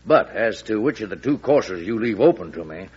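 Evasive American general, deferential and hesitant. Raspy, widely swinging delivery, vintage radio play. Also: male voice, on the low side, expressive, normal speed, a little mellow. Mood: wary, noncommittal. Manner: hesitant, throat-clearing, deferential. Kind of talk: classic radio drama acting. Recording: muffled old radio recording.

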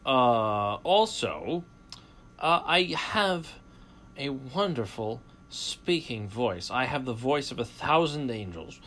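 A man's voice: mid-range voice, lively intonation, takes it slowly, fairly bright tone. A man hosting a college radio talk show, delivering a boastful, sarcastic opinion monologue. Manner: boastful, sarcastic, self-mocking.

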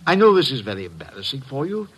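An actor playing an evasive, indignant murder suspect in an old radio melodrama, theatrical, brusque and emphatic. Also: male voice, medium pitch, big pitch swings, fast, breathy edge. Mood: soothing.

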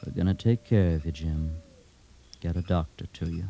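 An American actor playing a grim, steady, protective outlaw brother in a radio western, terse and deliberate. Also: male voice, sits low, gravelly. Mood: tender. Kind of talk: old-time radio western acting. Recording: noisy vintage radio recording with clicks.

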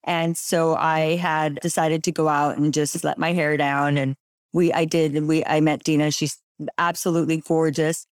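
A woman hosting a podcast in casual chat, bubbly and quick to laugh. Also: deep voice, level tone, no echo, clean studio sound. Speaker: podcast host.

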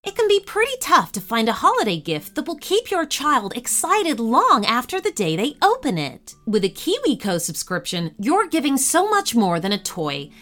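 A woman's voice: sits high, huge pitch swings, normal speed, hardly any pauses, fairly bright tone, faint music behind. A woman hosting a children's podcast, reading sponsor and premium announcements with upbeat enthusiasm. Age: adult. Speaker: podcast host. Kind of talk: promotional announcement.

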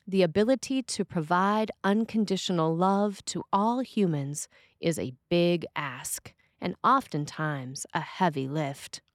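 A woman reading a scripted sermon as a preacher, with measured, deliberate phrasing. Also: fairly low pitch, dry recording, studio quality.

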